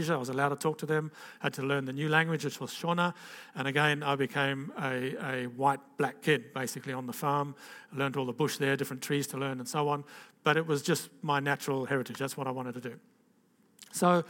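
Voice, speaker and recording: A man's voice: a little high, fairly bright tone, big-room sound. An older man gives an informal personal testimony to a gathering. He talks in a rambling, conversational way, with restarts and frequent "okay?" asides. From Zambia and Zimbabwe. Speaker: speaker giving a personal testimony.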